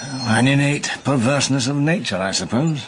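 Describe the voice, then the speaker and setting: Actor playing rueful drifter, mid-pitched. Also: male voice, animated, moderate pace, background noise, natural voice. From Glasgow, Scotland. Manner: wry, rueful. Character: rueful, self-deprecating Glaswegian man back from wandering. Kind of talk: radio drama acting.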